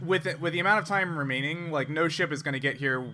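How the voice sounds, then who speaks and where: A man acting as game master in a tabletop role-playing game, explaining rules and improvising in a halting way. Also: fairly high pitch. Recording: clear background noise with ambience.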